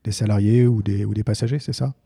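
Husky, gravelly radio host. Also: male voice, lowish voice, steady tone, fast, dark tone, no room sound, clean studio sound. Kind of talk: radio interview.

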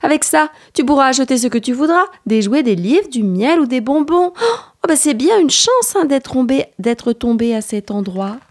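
Excitable little storybook boy played by a woman, high-voiced, animated, quick to gasp and exclaim. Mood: amazed. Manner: exclaiming, breathless with amazement and dismay.

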